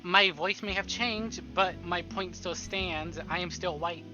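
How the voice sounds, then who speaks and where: A man voicing a self-righteous champion of justice in slow, drawn-out, declamatory mock speak for a game stream. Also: high-pitched, dull audio, music in the background. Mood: mock solemn.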